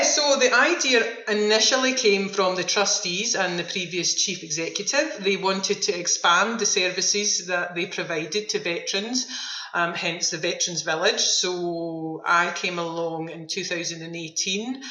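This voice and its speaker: Bright-voiced woman in an echoey room. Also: lowish voice. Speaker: veterans' activity centre manager, interview guest. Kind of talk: conversational interview answers. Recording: roomy, echoing sound.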